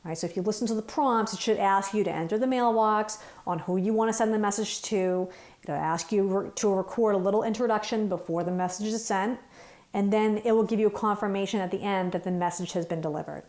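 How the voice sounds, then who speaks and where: A woman's voice: mid-range voice, a little fast, darkish tone. A woman working as a trainer, talking through instructions step by step in an informal, conversational way. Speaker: phone system trainer.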